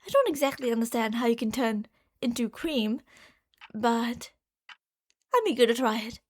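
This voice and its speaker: Woman acting high-voiced obsessive yandere girlfriend. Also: normal speed. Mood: adoring, eager. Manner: doting, gushing, swinging between hushed and excited. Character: obsessive, delusional yandere girlfriend, a genius scientist from another universe. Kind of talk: ASMR roleplay monologue addressed to the listener.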